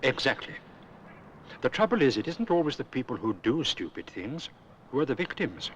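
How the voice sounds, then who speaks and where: A stern, wise cartoon owl instructs and admonishes in a mid-pitched voice. His intonation moves around freely. The old 1970s film soundtrack has a faint noise. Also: very animated, moderate pace, a bit bright, dull audio. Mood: grave, advising. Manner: didactic, admonishing. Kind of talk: instructive public information film narration.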